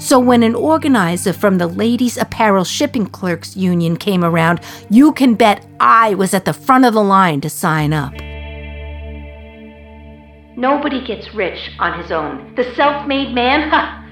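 An actress playing a proud, passionate working-class communist prisoner in a radio play monologue, talking in a colloquial, emphatic, storytelling way. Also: female voice, mid-range voice, lively intonation, measured pace, faint music behind, close to an everyday voice. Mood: defiant, indignant.

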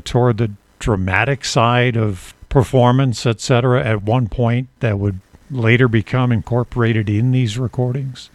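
A man hosting an interview, putting measured questions in a deliberate, formal and wordy manner. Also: mid-range voice, dry recording. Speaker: interview host.

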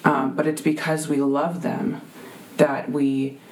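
A woman with a deep voice, telling a heartfelt faith testimony as a guest, full of ums and restarts. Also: little pitch movement, slow pace, darkish tone, poor audio. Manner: earnest, many fillers and restarts.

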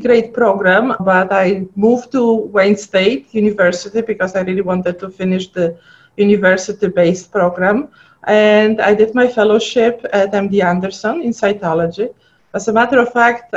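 A middle-aged woman from Poland with a Polish accent, a guest pathologist chatting and telling stories in an interview. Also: medium pitch. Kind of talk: casual interview chat. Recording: dull lo-fi audio.

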